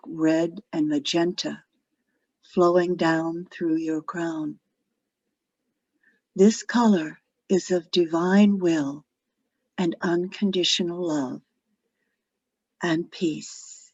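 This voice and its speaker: A woman leading a guided meditation in a gentle, soothing, unhurried way, phrase by phrase with long pauses. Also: lowish voice. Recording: dull lo-fi audio, roomy echo.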